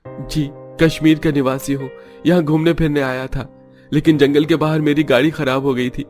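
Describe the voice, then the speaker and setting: A man's voice: fairly high pitch, steady tone, normal speed, a little mellow, soft background music, lightly acted. A man playing an affectionate, polite young husband in a cartoon story, courteous and tender. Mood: grateful. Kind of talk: Hindi cartoon story dialogue.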